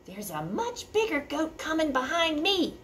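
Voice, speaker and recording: Lady doing a teeny squeaky storybook goat boy, way up high, meek and clever. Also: sing-song, unhurried, heavily acted. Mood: coaxing. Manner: squeaky, soft-spoken pleading. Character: tiny young male goat, meek but clever. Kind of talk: children's storybook read-aloud.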